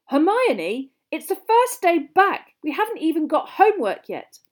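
A woman puts on a teasing, incredulous schoolboy from a storybook. He is casual and bantering, pitched up and animated. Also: normal speed. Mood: disbelieving.

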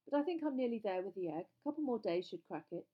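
A woman's voice: medium pitch, expressive, fast, lo-fi sound, pitched above the performer's own voice, close to an everyday voice. A woman reading a storybook as an earnest, guarded teenage boy with a careful, hesitant, casual way of speaking.

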